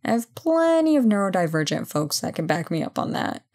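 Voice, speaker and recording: A woman playing a brisk, playful company boss in an audio roleplay job interview, chatty and full of wry asides. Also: on the low side, big pitch swings, creaky, darkish tone. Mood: wry, friendly. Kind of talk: ASMR roleplay, one-sided conversation.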